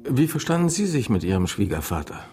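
An actor playing a matter-of-fact, persistent police inspector in a radio crime drama, questioning formally. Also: male voice, mid-range voice, very animated, fast talker, mellow, faint music behind, close to an everyday voice. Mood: firm, polite.

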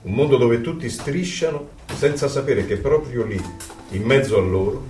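An actor playing a dissident in love, giving a lyrical, contemplative inner monologue in an Italian radio drama. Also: male voice, medium pitch, moderate pace, a little mellow, far from the mic, close to an everyday voice. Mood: wistful. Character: reflective male lover, inner voice of a dissident. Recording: echoey, off-mic radio play over music.

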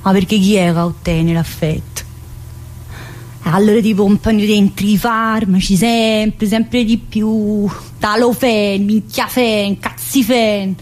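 Casting: actress for a troubled female psychiatric prisoner in a radio monologue, Neapolitan accent, husky voice, confiding and rambling. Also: medium pitch, steady tone, a little mellow. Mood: indignant, mocking. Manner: colloquial, confiding, rambling, with abrupt pauses and interjections. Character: troubled, talkative woman inmate of a psychiatric prison.

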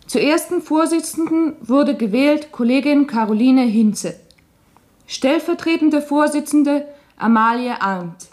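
A woman's voice: high voice, a little mellow. An actress playing a formal chairwoman in a radio play, making announcements in a ceremonial, proclaiming manner. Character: formal chairwoman announcing results at a maids' club meeting.

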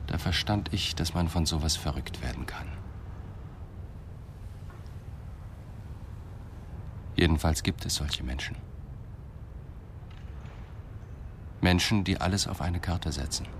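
An actor playing a calm police detective confronting a suspect in a radio play, measured, probing and restrained. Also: male voice, deep voice, a little hiss or hum. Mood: grave, reflective. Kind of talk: 1990s German radio play acting.

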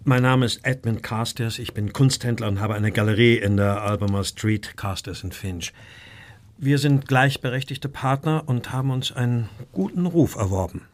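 An actor playing an anxious, well-bred Victorian art dealer in a radio play, telling his story in a formal, measured way. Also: mid-range voice, close to an everyday voice. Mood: uneasy, formal.

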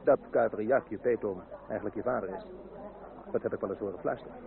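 An actor playing a concerned, reasoning politician friend in revolutionary France, confiding and expressive, in a Dutch radio drama. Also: male voice, lowish voice, fast talker, gravelly, crowd noise. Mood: probing. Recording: tinny narrow old radio recording.

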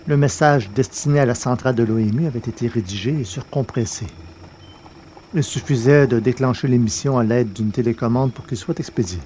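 A man hosting a podcast and reading a novel aloud in a measured, deliberate way. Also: medium pitch, darkish tone, slight background noise. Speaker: podcast host and narrator. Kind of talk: scripted audiobook narration.

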